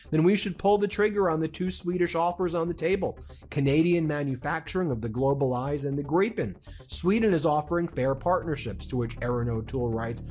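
A man's voice: fairly high pitch, darkish tone. A man commenting on the news and reading out posts, hesitant with fillers and restarts.

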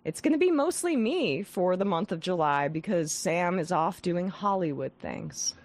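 A woman hosting a political talk show. She has a head cold with some vocal fry and talks in a scathing, animated way with frequent restarts. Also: on the low side, moderate pace, no echo. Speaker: host.

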